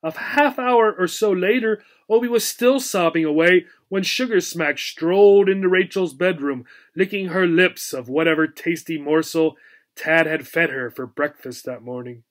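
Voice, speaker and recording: A man reading a children's book aloud as a teacher, in an expressive storybook narration style. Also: high-pitched, moderate pace.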